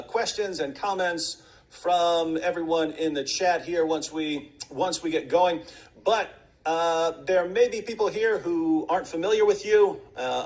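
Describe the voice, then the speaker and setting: A man hosting a livestream interview in a relaxed, chatty way with joking asides. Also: on the high side, rough recording. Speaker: host.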